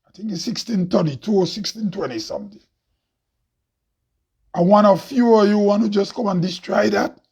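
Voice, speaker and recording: Loud Grenadian man, husky and high. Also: normal speed, pauses often. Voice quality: loud, heavy voice. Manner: preachy, rhetorical questions, laughs often. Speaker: host. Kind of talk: impassioned monologue. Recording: dull lo-fi recording.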